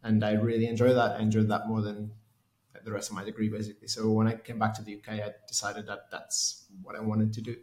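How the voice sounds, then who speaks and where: A man's voice: on the low side, completely flat delivery, fast, darkish tone, reverberant. A man from Portugal in his thirties, a race engineer answering interview questions in a hesitant way with many restarts. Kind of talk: conversational interview.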